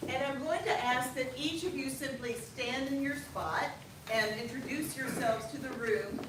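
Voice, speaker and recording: A woman's voice: sits high, heavy background noise. Woman addressing a room, distant and echoey. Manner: measured, formal welcoming speech. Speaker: interfaith alliance director. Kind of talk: addressing a gathering. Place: meeting room.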